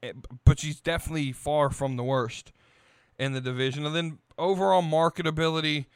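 A male podcast host with a fairly high, smooth and clear voice. He talks casually and opinionatedly, blunt and quick to swear. The sound is dry and close. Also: fairly even intonation, dry recording. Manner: blunt, profane.

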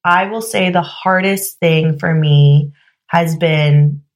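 A woman with a slightly low voice hosts her own podcast alone, still a little hoarse. She talks in a candid, chatty way, full of fillers like "you know" and "like". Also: steady tone, slow. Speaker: podcast host. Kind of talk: casual solo podcast monologue.

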